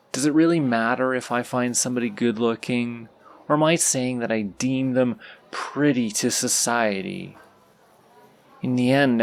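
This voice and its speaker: A man playing a shy, anxious, sweet young stranger in an audio roleplay, talking hesitantly and self-consciously in a creaky voice straight to the listener. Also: medium pitch. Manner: hesitant, self-conscious, rambling, halting speech.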